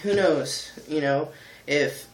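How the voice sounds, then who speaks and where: Candid man, light voice, echoey room. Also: fairly high pitch. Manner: candid, thoughtful, many ums and pauses. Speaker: vlogger and singer. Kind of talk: casual reflective vlog talk.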